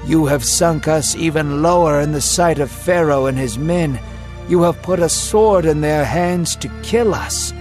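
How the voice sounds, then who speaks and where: A man's voice: on the high side, unhurried, darkish tone, pitched above the performer's own voice, lightly acted. A man voicing bitter, beaten slaves. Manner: accusing, bitter. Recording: music clearly underneath.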